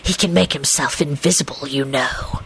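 A woman's voice: a bass voice, normal speed, fairly bright tone, husky, voice pushed down, full character voice. A woman voicing a cocky young male wizard in an audiobook, telling tales in a smug, dramatic, foul-mouthed way.

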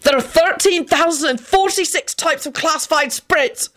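A woman voicing an anxious, excitable and irritable young man in a story, urgent in manner. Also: quick pace. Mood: desperate. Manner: urgent, high-strung.

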